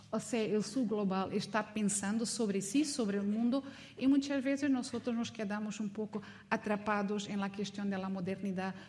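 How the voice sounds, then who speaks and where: A woman's voice: mid-range voice, dark tone. A woman from Mozambique with a Mozambican Portuguese accent, speaking as a non-native in an informal, reflective academic lecture. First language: not Spanish, learned it as an adult; also speaks Portuguese.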